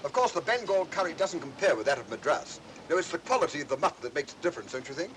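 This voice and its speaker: An actor playing a genteel, pedantic English army major with a British accent and a pompous, chummy manner in an old mystery film. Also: male voice, fairly high pitch, sing-song, fast talker, a bit bright, slight background noise. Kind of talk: 1940s mystery film acting.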